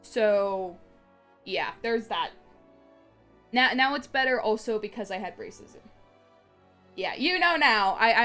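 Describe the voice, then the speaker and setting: A woman's voice: a little high, fairly bright tone. A young woman streamer with a slight lisp on her s and t sounds, rambling casually with many fillers and restarts. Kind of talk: casual live-stream chat.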